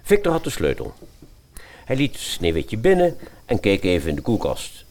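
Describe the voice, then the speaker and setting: Very animated low-voiced male storyteller. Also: normal speed. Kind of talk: scripted fairy-tale narration.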